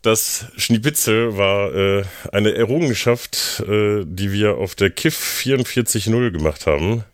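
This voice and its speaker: A young man hosting a casual podcast chat, explaining things in a halting, deliberate way with dry jokes. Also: lowish voice, no room sound. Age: young adult.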